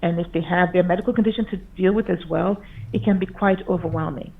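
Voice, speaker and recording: A woman's voice: lowish voice, quick pace, vocal fry. Woman lecturing over a tinny call line. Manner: earnest, explanatory, with occasional restarts. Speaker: conference speaker, physician. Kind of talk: conference talk over slides. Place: remote video call presentation. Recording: tinny phone-like video call audio.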